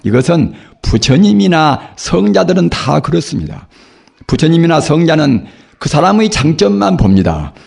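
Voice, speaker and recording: An older man, a Buddhist monk, giving a sermon in a hoarse voice from a cold, preaching slowly and earnestly with rhetorical questions. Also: on the high side, big pitch swings, darkish tone. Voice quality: gravelly, husky. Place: temple dharma hall.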